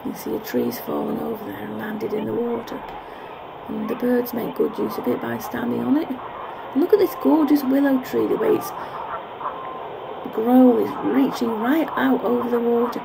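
A woman narrates casually as she walks outdoors, musing and correcting herself. Her voice is fairly high and lively in its rise and fall. It is captured on a handheld camera with clear background noise of the park. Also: normal speed. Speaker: vlogger. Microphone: handheld camera's built-in mic. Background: clear park ambience noise.

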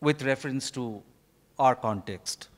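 A man in his fifties with an Indian accent gives a formal prepared speech in halting, deliberate short phrases. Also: mid-range voice. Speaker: author addressing an event. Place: stage in a large hotel ballroom. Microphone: handheld microphone. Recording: reverberant hall, live stage microphone.

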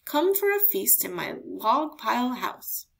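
A woman's voice: on the high side, big pitch swings, unhurried, vocal fry, a little hiss or hum, full character voice, low-quality recording. A woman voicing a sly, easily frightened storybook snake, coaxing and exclaiming. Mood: inviting.

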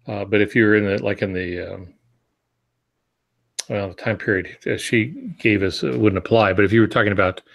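Older man with a fairly low voice. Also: steady tone, moderate pace, vocal fry, fairly bright tone. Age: 60s. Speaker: podcast co-host, experienced writer. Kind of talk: casual reflective advice. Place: home office, indoors. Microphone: video call on earphones.

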